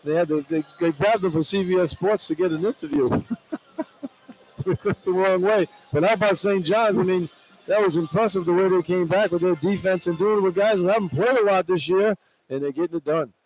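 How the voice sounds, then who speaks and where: A man with a slightly high voice works as a radio color commentator at a basketball game. He gives his opinions on the play in plain, conversational language. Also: brisk, a little mellow, low-quality recording. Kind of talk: live basketball analysis. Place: indoor basketball arena.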